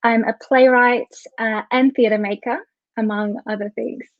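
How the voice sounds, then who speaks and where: Higher-voiced woman on a lo-fi call. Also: fairly even intonation, a little slow, no echo. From Tasmania, Australia. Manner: chatty, joking, thinks aloud with restarts. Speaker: playwright and theatre maker. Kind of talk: online panel discussion. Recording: dull, lo-fi, dry video call audio.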